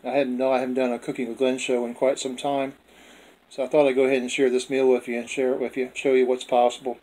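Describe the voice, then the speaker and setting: A man narrates a casual home video as its host. His pitch is mid-range and stays very even, with little rise or fall. He talks in a plainspoken, folksy way. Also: fast, low-quality recording.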